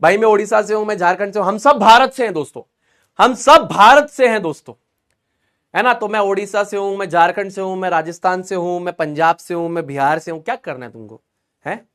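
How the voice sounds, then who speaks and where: A man's voice: high-pitched, a little fast, a bit bright. A young man teaching a chemistry class, explaining step by step in a chatty way and often stopping to check that students follow. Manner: chatty, asks checking questions. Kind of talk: online class lecture.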